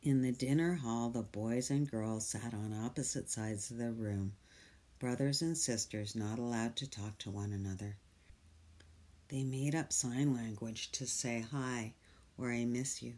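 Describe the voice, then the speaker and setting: Slow, gentle storybook reader with dark tone. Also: mid-range voice. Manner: gentle, soft, drops to whispers. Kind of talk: slow picture-book read-aloud.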